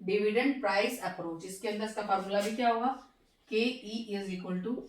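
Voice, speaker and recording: A hoarse woman teaching finance in a classroom-style lecture, asking questions and answering them herself and slowing down over English terms. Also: mid-range voice, far from the mic, a little hiss or hum. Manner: slows down to spell out English terms. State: hoarse, sore throat. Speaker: economics teacher. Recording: echoey room, voice from off mic.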